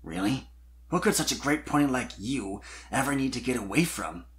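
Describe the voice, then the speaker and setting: A narrator voicing a gentle, gloomy male dragon with a hesitant, subdued way of talking in a fan fiction reading. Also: medium pitch, lively intonation, moderate pace, close to an everyday voice.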